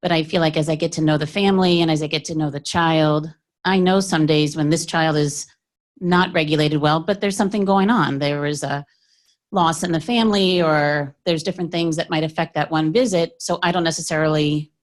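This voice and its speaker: A woman's voice: low voice, fairly even intonation, fairly quick. A woman pediatrician in her forties, sharing her experience in a reflective, conversational way at a workshop.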